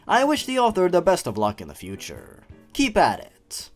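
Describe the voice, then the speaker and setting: Guy hosting a creepy story review, super animated voice goes up and down a lot, bit mocking, music quietly behind. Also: sits high, normal speed. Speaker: host and narrator of a creepypasta review show. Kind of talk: dramatic scripted story reading with comic commentary.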